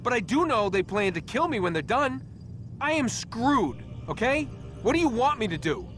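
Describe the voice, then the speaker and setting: A man's voice: very high voice, big pitch swings, moderate pace, fairly bright tone, faint music behind, heavily acted. An actor playing a frustrated young man held captive, sardonic and exasperated, in a naturalistic video game cutscene.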